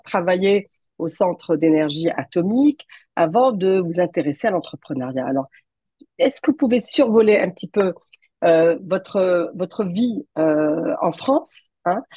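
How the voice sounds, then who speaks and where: Woman show host, low mellow voice, bit husky, polite and measured, dry close sound. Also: dry recording. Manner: measured, polite, with fillers and restarts. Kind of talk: interview hosting.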